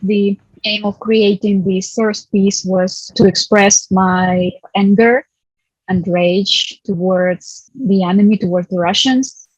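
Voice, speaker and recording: A woman's voice: medium pitch, steady tone, slow pace, darkish tone, no room sound. A woman from Ukraine with a Ukrainian accent, speaking as an artist in a documentary interview on a muffled recording. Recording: dull, lo-fi, very dry sound.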